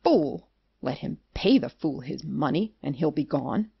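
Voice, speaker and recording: A man's voice: a little high, expressive, a little slow, vocal fry, slightly rough, no room sound, highs cut off, exaggerated voice. An actor playing a pious, indignant creditor in a period comedy, scolding righteously as he demands his money. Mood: resolute. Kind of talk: theatrical period comedy acting.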